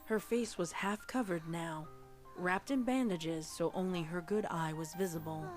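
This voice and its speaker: A woman's voice: lowish voice, unhurried, soft background music. A woman narrating a story in a scripted fan fiction reading.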